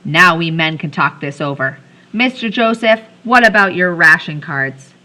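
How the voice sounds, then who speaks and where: A woman's voice: on the low side, lively intonation, normal speed, pushed and bright. A woman voicing a bossy, scheming young boy who snaps orders at the other children. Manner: bossy, cocksure, snapping.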